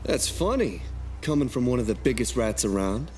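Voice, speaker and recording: Man as a cocky rogue fighter, menacing, insolent, medium pitch, expressive swings, dramatic fan dub. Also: moderate pace, slight background noise, natural voice. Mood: dry, needling.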